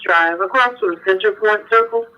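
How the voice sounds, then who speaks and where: A man's voice: high voice, moderate pace, bright, forceful tone. A man speaking in clipped police radio traffic, heard through a tinny scanner transmission. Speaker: police radio dispatcher or officer. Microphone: two-way radio.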